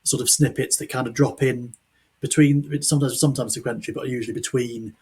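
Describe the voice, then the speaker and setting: A man hosts a podcast in a casual, chatty style. His voice is medium in pitch and husky, and he speaks quickly. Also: fairly even intonation, darkish tone. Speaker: podcast host. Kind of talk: casual chat.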